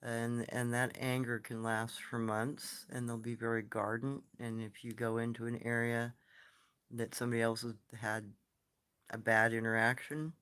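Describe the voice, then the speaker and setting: A soft-spoken man telling stories and answering comments in a casual, rambling live stream, recorded on his phone. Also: mid-range voice, monotone, normal speed, clean tone.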